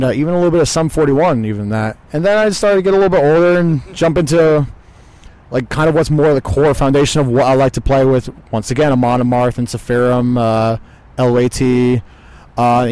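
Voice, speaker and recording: A man's voice: medium pitch, a little mellow, smooth. Joking, rambling man from New York. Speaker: guitarist and lead vocalist. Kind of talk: casual interview chat. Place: outdoors in a parking lot.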